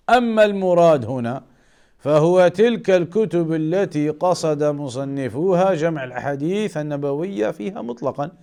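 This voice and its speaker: A man giving an Islamic studies lesson as a teacher, explaining in a measured way and rephrasing key points. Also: fairly high pitch, a little mellow, clear, resonant tone. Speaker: religious teacher.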